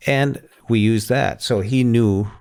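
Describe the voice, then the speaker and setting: A man hosting a casual tech discussion, talking in a halting way and often rephrasing as he explains. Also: medium pitch, creaky, a little mellow, smooth. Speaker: podcast host.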